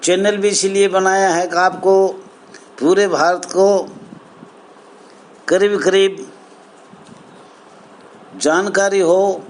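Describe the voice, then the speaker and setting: A man giving an informal grievance speech to members as an association spokesman, in halting, repetitive phrases with emphatic appeals. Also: sits high, flat delivery. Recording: clear background noise, amateur recording.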